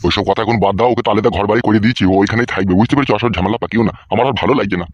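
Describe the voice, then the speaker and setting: A man's voice: lowish voice, fairly even intonation, rapid-fire, no room sound, lo-fi sound, natural voice. A man voicing a weary, indulgent old village father keeping the peace in a comic cartoon. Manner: peacekeeping, exasperated scolding.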